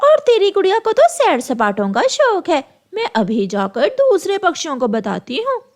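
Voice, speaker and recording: A woman's voice: extremely high pitch, huge pitch swings, normal speed, voice pushed up, exaggerated voice. A woman voicing a meddling, gossipy female crow who scolds and accuses in a cartoon story. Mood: scolding.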